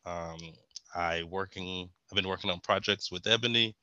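A young man from Texas talks through a casual interview, wandering from point to point with lots of ums and restarts. His voice sits very low, yet the tone is bright and forceful. The sound is dry and close. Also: moderate pace, smooth, no echo, muffled recording. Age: young adult. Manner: rambling, frequent ums and restarts. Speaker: guest.

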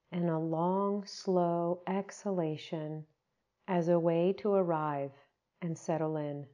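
A woman leading a guided meditation, giving gentle, unhurried instructions with long pauses. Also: fairly low pitch, dull audio.

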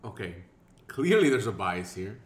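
A man's voice: fairly low pitch, very animated, moderate pace. A man co-hosting a casual podcast, dry and argumentative, answering in short lines. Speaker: podcast co-host. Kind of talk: casual chat. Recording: echoey room with faint hiss.

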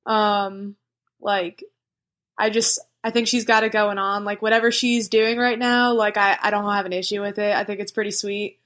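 A young woman guest on a podcast, chatting casually in a relaxed, halting way with many restarts. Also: medium pitch. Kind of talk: casual chat.

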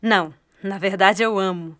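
A woman's voice: mid-range voice, normal speed, a bit bright, close to an everyday voice. A woman acting in a Brazilian radio drama as a bitter, longing fifty-one-year-old retired detective, with a Brazilian accent. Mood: wry, wistful.

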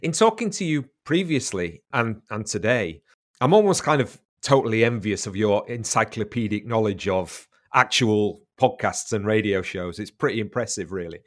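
A man's voice: fairly low pitch, animated, normal speed, a bit bright. A man hosting an interview, asking questions in a hesitant way and repeating words as he goes. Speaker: podcast host, interviewer. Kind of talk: casual interview.

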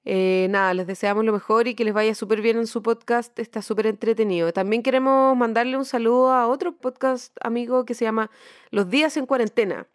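A woman's voice: a little high, steady tone, a little fast. A woman with a Chilean accent co-hosting a podcast in a casual, colloquial, slangy chat. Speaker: podcast co-host.

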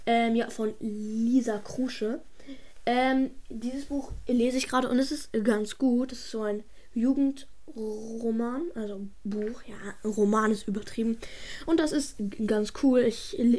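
A woman from Germany answering viewer questions in a casual chat, stumbling over words and using slang. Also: fairly high pitch. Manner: frequent stammers and restarts, slangy.

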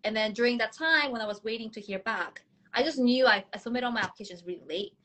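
A woman's voice: fairly high pitch, fast talker, a bit bright. Bubbly young woman, laughing often. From South Korea. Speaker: podcast guest, dentist. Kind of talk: casual interview answers.

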